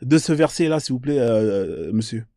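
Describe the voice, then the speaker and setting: A man's voice: mid-range voice, animated, moderate pace, dark tone, dry recording, clean studio sound. A man hosting a live online debate, polite but insistent, repeating his requests to callers.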